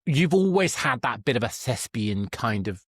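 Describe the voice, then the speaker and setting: Male podcast interviewer, medium pitch, chatty and loose phrasing, dry acoustic. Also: very animated, moderate pace, husky, dry recording. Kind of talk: casual chat.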